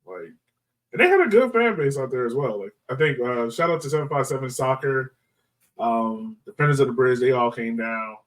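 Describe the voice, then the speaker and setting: A man hosting a casual soccer podcast, talking in a halting, hesitant way. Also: medium pitch, lively intonation, recorded from a distance, rough recording. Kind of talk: casual sports chat.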